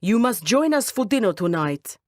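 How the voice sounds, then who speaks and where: A woman voicing a clever, confident male cat with a smooth-talking, courtly manner in a storybook tale. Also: medium pitch, sing-song, normal speed, no room sound, clean studio sound, voice pushed up. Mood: commanding, assured.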